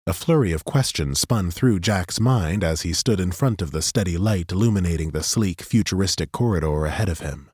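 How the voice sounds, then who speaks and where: A man narrating a fantasy audiobook in a steady, measured storytelling manner, close to a studio microphone. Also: sits low, breathy edge, dry recording.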